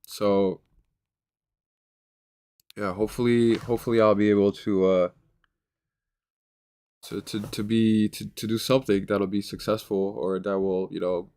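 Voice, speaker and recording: A man with a stuffed-up, nasal voice talks to his camera in a loose, rambling way, stopping and restarting often. His voice sits low and stays flat, with a smooth, clean tone. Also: a little slow, pauses often. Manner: rambling, hesitant, many fillers and restarts. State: congested, nasal voice. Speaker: vlogger. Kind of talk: casual self-recorded vlog.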